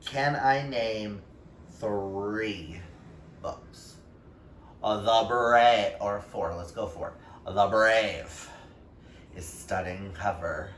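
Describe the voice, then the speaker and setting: A man with a fairly low voice chats informally to his viewers as a book vlogger. He speaks slowly and expressively, with emphatic exclamations. The sound is roomy and echoey, picked up some way from the mic. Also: a bit bright. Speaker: book YouTuber. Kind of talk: casual chatty vlog talk to camera. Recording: roomy echo, distant mic, faint noise.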